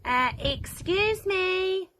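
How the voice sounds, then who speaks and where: A woman's voice: extremely high pitch, expressive, extremely slow, bright, forceful tone, a little hiss or hum, pitched above the performer's own voice, exaggerated voice. A woman voicing a polite, kindly and wise little cricket in a storybook. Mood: gentle, encouraging.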